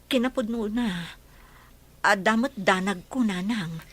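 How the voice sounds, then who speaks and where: A caring mother in middle age, earnest and worried, as a woman plays her. Her voice sits at a medium pitch for a woman. She is a figure in a homespun radio drama. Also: unhurried, fairly bright tone, slightly rough.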